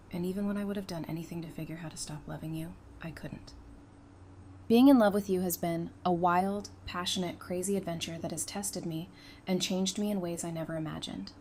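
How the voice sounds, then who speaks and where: A woman's voice: lowish voice, dark tone, lightly acted. A woman playing a tender, devoted bride in an audio drama, speaking earnestly and emotionally. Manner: earnest, emphatic, emotional delivery. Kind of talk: fan audio drama acting. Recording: faint background noise and ambience.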